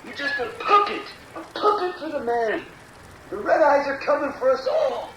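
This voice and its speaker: Shrill drunk old doomsayer. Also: male voice, sing-song, moderate pace, fairly bright tone, a little hiss or hum, heavily acted. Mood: accusing, paranoid. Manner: raving apocalyptic pronouncements. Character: ranting drunk old street doomsayer, paranoid and wild. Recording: echoey, set back in rain.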